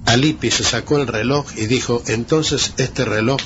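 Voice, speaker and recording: An older man with a Uruguayan accent hosting a radio conversation, reminiscing like a deliberate storyteller. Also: mid-range voice, fairly bright tone. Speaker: radio host. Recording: dull, noisy archive radio tape.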